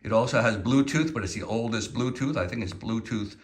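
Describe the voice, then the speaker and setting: A man's voice: medium pitch, brisk. A man giving an unscripted, informal and opinionated product review, explaining features hands-on as he goes. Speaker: product reviewer presenting portable PA speakers.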